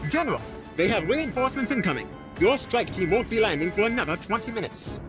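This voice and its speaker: A man acts an alien second-in-command in a sci-fi audio drama. His voice is a little high and moves around a lot, yet he reports formally and dutifully. Music plays clearly behind him. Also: big pitch swings, fast talker. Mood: urgent.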